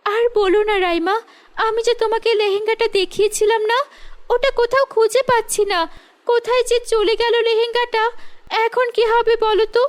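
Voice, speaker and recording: Woman voicing an earnest, very high-pitched young girl. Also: fairly even intonation, fairly quick. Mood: worried. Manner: earnest, pleading. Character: honest, poor, sweet young dancing girl. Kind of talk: cartoon fairy-tale acting.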